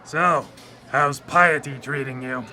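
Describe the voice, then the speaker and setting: An actor in a radio drama playing a smug, taunting tempter spirit who schemes to corrupt souls. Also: male voice, mid-range voice, slow pace, sharp tone, faint music behind. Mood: wry, smug. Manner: taunting, theatrical. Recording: live radio drama broadcast.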